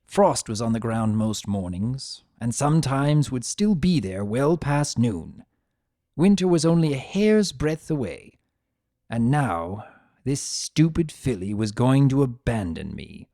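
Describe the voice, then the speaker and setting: A man voicing a grumpy, possessive, secretly tender chaos creature who narrates his own story in a sardonic, expressive dramatic reading. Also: mid-range voice, measured pace, no room sound, studio quality. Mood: wry, reflective. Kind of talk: first-person dramatic reading of fan fiction.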